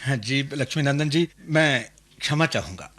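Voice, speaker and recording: An actor playing a polite, humble visiting minister in a radio drama, formal and slightly hesitant. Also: male voice, mid-range voice, expressive, normal speed, a bit bright, close to an everyday voice. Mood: humbly polite. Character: deferential, polite visiting minister seeking a match. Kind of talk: Hindi radio drama acting.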